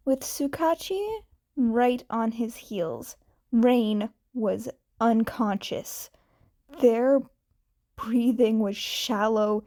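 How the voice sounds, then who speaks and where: A woman's voice: a little high, mellow. A woman narrating a scripted story as a fan fiction reader.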